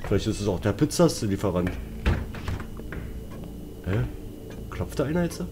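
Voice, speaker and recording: A man's voice: lowish voice, very animated, a little slow, background noise. A man giving casual, colloquial, joking commentary as he plays a horror game, talking to himself and his viewers. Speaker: let's player.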